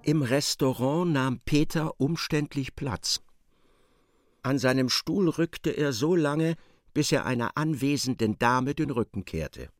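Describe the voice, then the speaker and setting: A man giving expressive, articulate literary narration for a radio play, close on a studio microphone. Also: mid-range voice, slow, no room sound, faint music behind.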